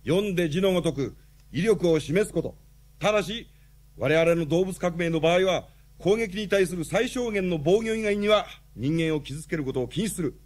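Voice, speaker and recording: A man's voice: sits high, expressive, normal speed. An actor playing a stern but patient revolutionary chairman, briefing his team formally and with authority in a radio drama. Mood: commanding. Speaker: leader giving a briefing.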